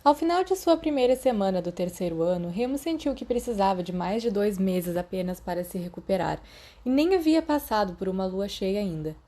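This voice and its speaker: A Brazilian woman narrates fiction in a warm, relaxed voice of medium pitch. She reads from a script like an audiobook narrator, now and then stumbling slightly and correcting herself. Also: brisk.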